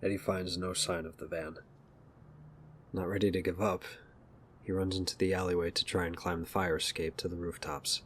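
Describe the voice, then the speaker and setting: A man's voice: sits low, a bit bright, slight background noise. A man narrating a scripted audio drama, telling the action as a storyteller.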